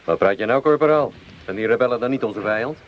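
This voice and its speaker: A man's voice: mid-range voice, fast talker, slight background noise, lightly acted. Needling soldier on dull radio recording man acting. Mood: challenging. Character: sceptical, needling French government soldier.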